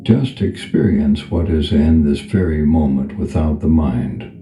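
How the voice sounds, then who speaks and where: A man leading a guided meditation as a calm spiritual teacher, speaking in a gentle, unhurried, instructional manner. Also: extremely low pitch. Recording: echoey reverb over background music. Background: music.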